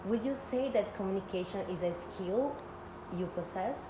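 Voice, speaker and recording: A woman's voice: medium pitch, moderate pace, echoey room, background noise. A woman working as a recruiter, asking interview questions with small slips and restarts. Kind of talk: mock job interview.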